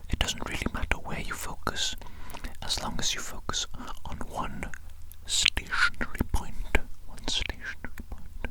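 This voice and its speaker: A performer whispering as an evil mad doctor in an ASMR medical roleplay, fussy and controlling, softly repeating phrases to a little minion. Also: unhurried, close to an everyday voice. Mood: patient, insistent. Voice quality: breathy unvoiced whisper.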